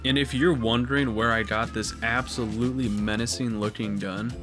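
A young man with a cold, his voice a bit raspy and stuffed up, talking in a loose, excitable way and throwing in casual swears. His pitch sits in an ordinary male middle range. Faint music plays quietly under his voice. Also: normal speed. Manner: excitable, swears casually, says "dude" often. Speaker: gaming video host. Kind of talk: casual gameplay commentary.

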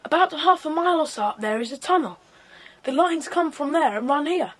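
High, lively British schoolboy. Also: female voice, fairly quick. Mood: brisk, confident. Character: keen, sensible English schoolboy leader of young adventurers. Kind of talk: children's audio drama acting. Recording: faint noisy 1990s cassette audio drama.

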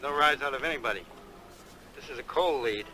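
A man's voice: medium pitch, brisk, natural voice. A man as young undercover detective, noisy soundtrack. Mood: casual. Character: young male investigator undercover as news seller. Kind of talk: 1960s television detective drama acting.

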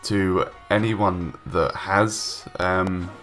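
A man's voice: sits low, steady tone, very slow, a bit bright. A young man with a stuffy nose from a cold, giving casual, rambling voice-over commentary with many ums and restarts. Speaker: gaming YouTuber. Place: home room, recorded separately from gameplay.

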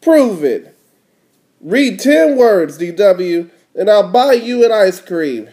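A man's voice: high voice, big pitch swings, takes it slowly, dark tone, heavily acted. A man voicing a challenging, teasing schoolboy big brother from a children's picture book.

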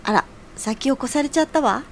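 A woman's voice: high-pitched, a little fast, background noise. A woman playing a teasing, motherly lady in a scripted radio drama. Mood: teasing, tender.

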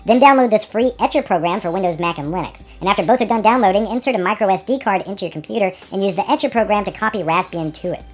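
A woman's voice: medium pitch, quick pace, hardly any pauses, a little mellow. A woman reading scripted step-by-step technical instructions. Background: background music.

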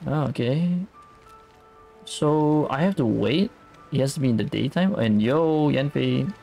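A man's voice: mid-range voice, slow, vocal fry, warm tone. A man giving casual playthrough commentary as a game streamer, muttering and thinking aloud. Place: indoors, streaming over game audio. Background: faint game music.

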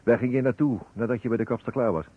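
A man playing a shrewd, courteous investigator in a Dutch radio drama, speaking formally and deliberately. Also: medium pitch, slightly rough, natural voice. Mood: measured, insistent. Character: shrewd, courteous male investigator, probing and methodical. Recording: tinny, noisy old radio recording.

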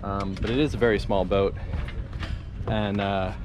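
A man's voice: lowish voice, steady tone, a little slow. Forties male vlogger, upbeat and loose, camera-mic sound with wind noise. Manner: chatty, upbeat, lots of fillers. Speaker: sailing vlogger. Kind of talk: casual vlog walkthrough. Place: outdoors by harbor and on small sailboat. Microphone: action camera at arm's length.

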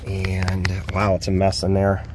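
A man's voice: low voice, completely flat delivery, unhurried, background noise. A man walking around an abandoned store outdoors, filming on a handheld phone and commenting casually as he goes, rambling and thinking aloud with fillers. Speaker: urban exploring vlogger. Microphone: handheld phone camera.

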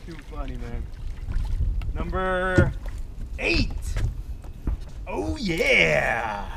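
A man's voice: on the high side, huge pitch swings, extremely slow, gravelly. A man in his thirties, a fishing vlogger, chatting casually and playfully from a small boat on open water, counting his catches. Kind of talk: casual chat. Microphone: action camera on the boat. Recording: wind and water noise outdoors.

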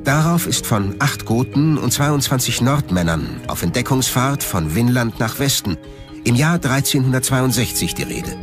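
A man's voice: medium pitch, lively intonation, normal speed, music in the background. A man reading scripted documentary narration as the narrator of a history programme.

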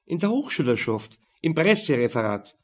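Man playing a nonchalant student, higher-pitched. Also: sing-song, moderate pace. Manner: friendly, nonchalant. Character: easygoing, unbothered male university student son.

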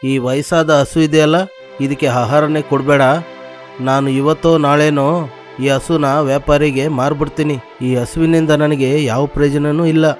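A man's voice: a little high, flat delivery, moderate pace, faint music behind, lightly acted. A man playing a stingy, scolding village husband who sells milk in an acted moral story. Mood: stern, dismissive. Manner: scolding, demanding. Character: stingy, stern husband, a milk seller and farmer.

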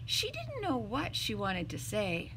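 A middle-aged woman doing an expressive, theatrical read-aloud of a picture book for young children. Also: on the high side, a little slow, background noise. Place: small indoor room with bookshelves.

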